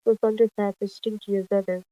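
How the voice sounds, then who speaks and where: A storyteller voicing a calm, polite female cat who leads her clan in a roleplay story. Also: mid-range voice, fast talker, no echo, dull audio, lightly acted. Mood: calm, courteous.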